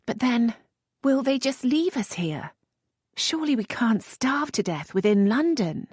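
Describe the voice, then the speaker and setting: A woman narrator voicing an impetuous, sharp-tongued young lady in a Regency audiobook, in a raised, bright voice. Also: on the high side, big pitch swings, a little slow, husky, no room sound, studio quality, voice pushed up, exaggerated voice. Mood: anxious teasing.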